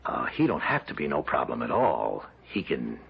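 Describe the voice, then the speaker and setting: An actor playing a rough but deferential mob henchman in an old radio drama, with slangy, halting, uneducated talk. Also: rumbling low, huge pitch swings, dull audio, heavily acted. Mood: conspiratorial, urging. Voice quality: gravelly, husky.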